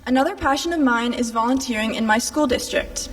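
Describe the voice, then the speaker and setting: A teenage girl from Sweden reads her speech in an earnest, steady way. Her voice sits a little high. She is picked up from some distance in a hall with a long echo. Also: fairly quick. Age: teens. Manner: earnest, steady recited delivery. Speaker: Lucia court candidate. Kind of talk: prepared selection speech. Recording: echoey hall, heard from afar.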